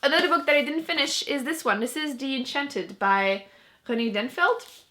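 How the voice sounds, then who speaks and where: A woman's voice: high voice, lively intonation, moderate pace, pushed and bright, slight background noise. A young woman whose first language is French, chatting casually to camera as a book vlogger, laughing often and poking fun at herself.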